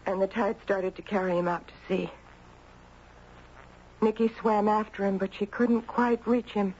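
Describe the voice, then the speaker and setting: A woman playing a grieving mother in a 1970s radio drama, telling her story in a halting, dramatic way. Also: medium pitch, fairly even intonation, a little mellow, a little hiss or hum, close to an everyday voice. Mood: grieving, haunted. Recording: dull 1970s radio broadcast, faint music.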